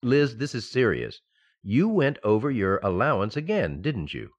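A man acts out a sensible banker husband in a radio comedy. He explains with strained patience and mock sternness, his pitch swinging dramatically up and down. The recording is a little dull. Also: wildly swooping pitch, moderate pace. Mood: exasperated. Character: exasperated, sensible banker husband in a domestic sitcom. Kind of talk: old-time radio sitcom acting.

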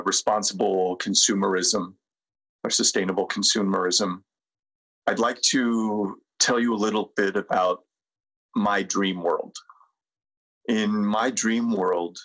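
A middle-aged American man speaking reflectively as a podcast guest, measured and deliberate, with pauses. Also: fairly low pitch, a bit bright, rough recording. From United States. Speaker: podcast guest, sustainability consultant. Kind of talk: reflective interview talk and guided presentation.

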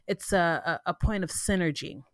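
A congested woman talks through a solo podcast episode in a loose, informal way, sniffling now and then. Her voice sits a little low, and she often stops to check in with "right?" The close mic sounds dry, with no room around it. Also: normal speed, no room sound. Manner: rambling, informal, frequent "right?" asides and self-restarts. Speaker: podcast host. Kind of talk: casual solo podcast talk.

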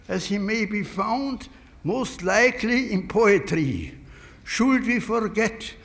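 An older man with an Austrian German accent, a native German speaker, giving a formal prepared speech in careful, halting English. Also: high voice, gravelly. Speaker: conference speaker.

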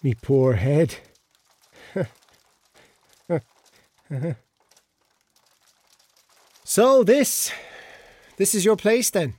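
A man's voice: high voice, big pitch swings, takes it slowly, a little mellow, breathy edge, no room sound, full character voice. A hungover Irish man in an audio drama, a flippant charmer out of his depth. He speaks colloquially and awkwardly, with a bewildered air. The recording is dry and free of room sound. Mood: confused, awkward. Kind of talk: radio drama acting.

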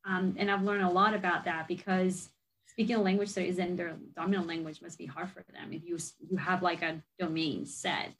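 A woman's voice: lowish voice, level tone, brisk, a bit bright, slight background noise, low-quality recording. Woman, young adult, chatty, casual reflective talk, discussion host, informal phrasing. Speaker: host and researcher.

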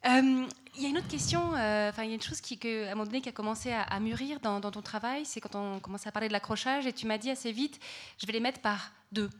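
A woman with a somewhat high voice moderates a conversation at an exhibition. She leads the talk briskly, putting questions and steering the exchange. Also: slight background noise. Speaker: event moderator.

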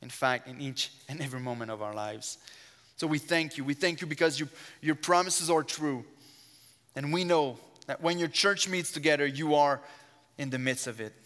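A man preaching with a strong French accent, his first language being French. His voice is smooth and resonant, and it carries an earnest, fervent emphasis in prayer. Long church reverb rings behind every phrase. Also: on the high side, normal speed, fairly bright tone. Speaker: guest preacher. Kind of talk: sermon with opening prayer. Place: church sanctuary.

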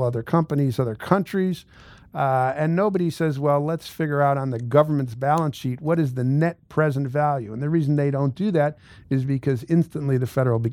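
A man's voice: medium pitch, dark tone, no echo. An American man in his sixties giving informal explanatory financial commentary, blunt and emphatic, repeating phrases for stress. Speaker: host, tax and accounting adviser.

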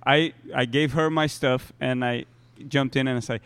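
A man from Brazil, co-hosting a podcast and telling a lively story in casual chat. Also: medium pitch, moderate pace, smooth, no room sound. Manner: animated storyteller, many "you know" fillers. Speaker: podcast co-host, soccer player.

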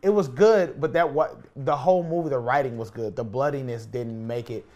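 A man's voice: medium pitch, normal speed, a little mellow, lo-fi sound. A man co-hosting a casual podcast chat, arguing his point and often repeating phrases to press it while playing a game. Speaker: podcast co-host.

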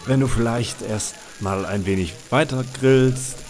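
A man's voice: mid-range voice, measured pace. A man who co-hosts a comedy podcast, speaking expressively in acted sketches and banter. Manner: animated, expressive. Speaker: podcast co-host and sketch voice actor.

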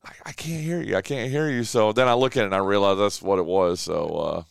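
A man hosting a casual morning radio show, rambling with fillers, his voice a bit rough and deeper from yelling the night before. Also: fast, no room sound, clean studio sound. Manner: rambling, chatty, lots of uh fillers. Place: small home radio studio. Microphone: studio mic with headphones.

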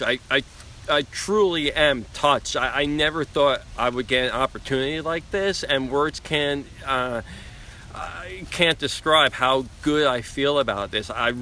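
A man's voice: mid-range voice, measured pace, bright, forceful tone, slight background noise. A man from Long Island with a speech impediment, speaking earnestly as a podcast guest outdoors, often repeating words. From Long Island, New York. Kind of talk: casual heartfelt conversation. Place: outdoors in the cold.

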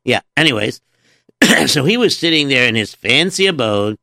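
A male storyteller hosting a children's story show, patiently and dryly teasing a sidekick who keeps interrupting. His voice is bright and moves a lot in pitch. The recording is close and dry. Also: very animated, normal speed, vocal fry, no echo. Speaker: storyteller host.

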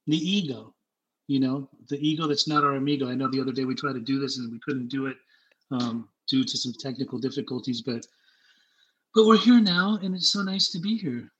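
A middle-aged man, born in Germany and raised in Utah, telling his own story as an interview guest in a candid, halting way, often repeating words and growing animated as he retells scenes. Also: medium pitch, muffled recording.

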